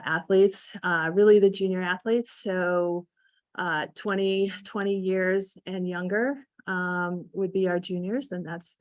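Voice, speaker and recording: A woman in her forties, a ski development director speaking on a conference panel, talking in a halting way with many fillers and repeated words. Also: fairly low pitch, no room sound.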